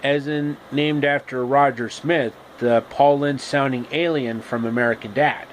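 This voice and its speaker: A man telling an improvised comic retelling of a fairy tale, haltingly and repeating phrases. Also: mid-range voice. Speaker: storyteller. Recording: steady background noise.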